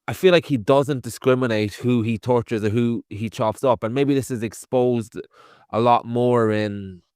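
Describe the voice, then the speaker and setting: A man's voice: medium pitch, fairly even intonation, moderate pace, darkish tone, clean tone, no echo, studio quality. A man with an Irish accent, a podcast co-host giving a casual, conversational film review and thinking aloud as he goes. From Ireland.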